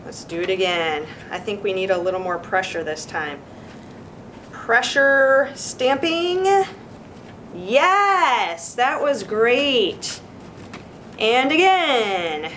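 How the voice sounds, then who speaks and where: A woman's voice: expressive, slow pace, fairly bright tone. A woman hosting a children's craft session, showing the steps in an informal, encouraging, chatty way. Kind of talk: casual craft demonstration for children. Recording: clear background noise with low rumble.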